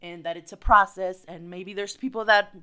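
Smooth, bright-voiced bilingual woman host. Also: medium pitch, fairly even intonation, moderate pace. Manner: chatty, code-switching, lots of fillers like "like" and "so". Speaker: podcast co-host. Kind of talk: casual podcast chat.

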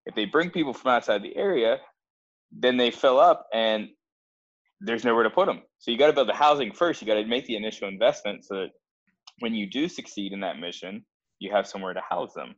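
A man's voice: fairly low pitch, a little fast. A man co-hosting a casual podcast debate, insistently reasoning his point through, on a slightly dull recording.

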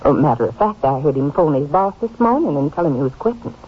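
A muffled old radio recording of a small-town American aunt. Her voice is husky, and she speaks politely and chattily with a troubled, obliging manner. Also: sits low, expressive, quick pace. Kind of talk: 1950s radio drama acting.

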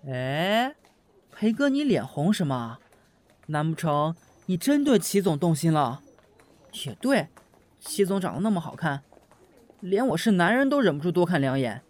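A young male office worker played by a man chatters away in an audio drama. His voice is high and very animated. He is teasing, eager and a bit nosy. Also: a little slow. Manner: chatty, playful.

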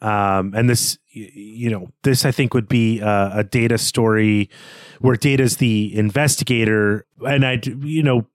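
A man co-hosting a podcast, talking through a story in an unscripted, halting way as he thinks aloud. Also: on the low side, fairly even intonation, no room sound, studio quality. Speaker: podcast co-host. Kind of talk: unscripted literary discussion.

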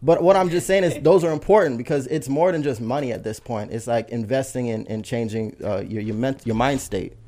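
Male co-host, mid-pitched voice, thoughtful and reflective. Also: fairly quick, hardly any pauses, warm tone. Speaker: podcast co-host. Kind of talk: casual chat.

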